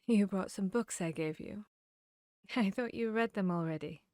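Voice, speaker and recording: A woman's voice: medium pitch, moderate pace, darkish tone, no echo, close to an everyday voice. Woman acting as intimate female vampire hunter, very dry sound. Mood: curious, gentle. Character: female vampire hunter, confiding, bookish, teasing fantasy companion. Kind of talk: ASMR roleplay audio drama.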